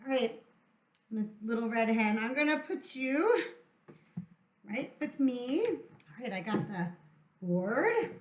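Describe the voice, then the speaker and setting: Animated, slow-paced woman hosting kids' storytime. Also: fairly high pitch, echoey room. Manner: encouraging, sing-song, talks to young children. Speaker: children's storytime teacher and host. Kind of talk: playful children's storytime, drawing along.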